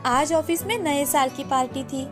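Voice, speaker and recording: A woman's voice: high voice, moderate pace, music in the background. A woman narrator reading a scripted moral story for children.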